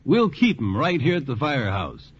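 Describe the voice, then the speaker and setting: An actor playing a bossy, irascible volunteer fire chief with a folksy manner and husky voice in a 1940s radio drama. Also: male voice, mid-range voice, very animated, moderate pace. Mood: scheming, bossy. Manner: folksy, commanding. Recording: dull vintage 1940s radio recording.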